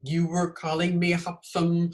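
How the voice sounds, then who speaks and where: A woman playing a polite foreign piano teacher who speaks halting broken English in a radio comedy. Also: fairly low pitch, monotone, darkish tone, lightly acted. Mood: polite, eager. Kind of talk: old-time radio comedy acting.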